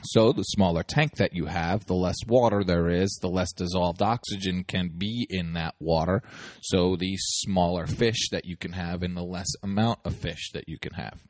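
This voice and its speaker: A man's voice: low voice, normal speed, no room sound. A man hosting a casual solo podcast while getting over a cold, talking loosely with many ums and uhs. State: recovering from a cold. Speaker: podcast host.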